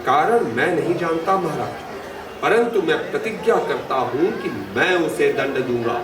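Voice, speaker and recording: Man playing a noble anguished king, formal solemn declamation, slightly high voice, music behind, theatrical mythological drama. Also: animated, moderate pace, lightly acted. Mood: solemn, resolute. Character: noble, anguished king and doting father, deferential to sages. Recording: roomy distant playback with music behind.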